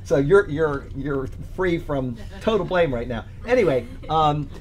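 A man's voice: fairly high pitch, expressive, measured pace. A man teaching a Bible study in a loose, conversational way, rambling with frequent fillers and restarts. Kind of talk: casual Bible study talk.

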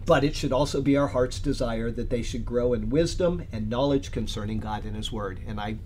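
A man's voice: mid-range voice, a little fast, darkish tone, poor audio. Rambling male Bible teacher, faint background hum. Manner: rambling, conversational, many fillers and restarts. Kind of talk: casual Bible study talk.

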